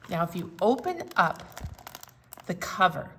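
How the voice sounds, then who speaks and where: A woman presenting a children's storytime, explaining things simply and in an animated way, with sing-along songs. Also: fairly low pitch, a little slow. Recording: roomy, distant mic, some background noise.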